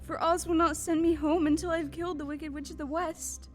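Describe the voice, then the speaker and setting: A woman's voice: high-pitched, flat delivery, fairly quick, faint music behind, lightly acted. A woman in an audio play playing a meek, earnest little girl. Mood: distressed. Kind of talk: audio play acting before a live audience.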